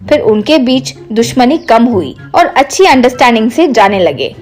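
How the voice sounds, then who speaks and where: A woman delivers scripted story narration as the narrator. Her voice is high, and faint music plays beneath it. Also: very animated, normal speed, a little mellow.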